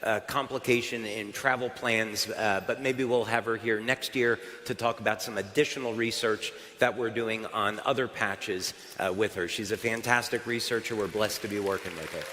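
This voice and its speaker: A man's voice: fairly low pitch, steady tone, normal speed, hardly any pauses, bright, forceful tone. An American man giving a live stage presentation at a company event, chatty and upbeat with fillers and restarts. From United States. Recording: reverberant hall sound.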